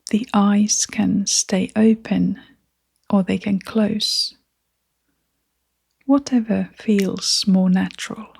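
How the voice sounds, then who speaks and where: A woman guiding a meditation in a soft, half-whispered voice, gentle and soothing, leaving long pauses between phrases. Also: medium pitch, steady tone, vocal fry, breathy edge. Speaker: meditation guide. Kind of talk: guided meditation narration.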